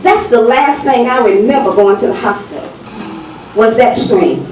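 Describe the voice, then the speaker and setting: A woman in her fifties gives personal testimony to a public meeting, phrasing it deliberately and dramatically. The sound is distant and swimming in hall echo, with faint noise. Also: fairly high pitch, lively intonation, darkish tone. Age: 50s. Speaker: audience member giving testimony. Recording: distant mic in very echoey hall.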